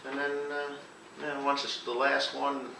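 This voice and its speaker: A man who farms, telling rambling, casual stories among friends in a folksy, colloquial and animated way. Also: mid-range voice, moderate pace, vocal fry. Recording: roomy, distant mic, noisy background.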